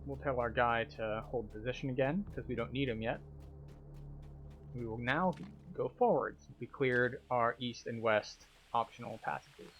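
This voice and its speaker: A man's voice: medium pitch, normal speed, a bit bright. A man giving casual, explanatory commentary as a tour guide through a video game.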